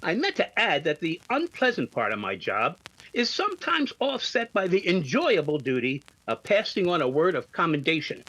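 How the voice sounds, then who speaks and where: Stern, animated police inspector played by a man. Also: fairly high pitch, rough recording. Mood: formal, measured. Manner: stern, measured, authoritative. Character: stern, formal male police inspector, a commanding officer. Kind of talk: 1950s radio drama acting.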